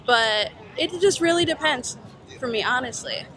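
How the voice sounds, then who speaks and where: A woman from Detroit answering street interview questions outdoors, earnest and measured. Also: high-pitched, lively intonation, sharp tone, a faint crowd, slight background noise. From Detroit, USA. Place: outdoor festival.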